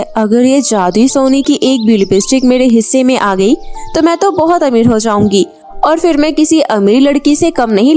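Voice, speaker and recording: A woman puts on a high, girlish voice for a greedy, excitable heroine of a children's moral story. She craves riches and speaks eagerly and dramatically. Music plays faintly behind her. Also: close to an everyday voice. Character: greedy, excitable girl craving riches and beauty.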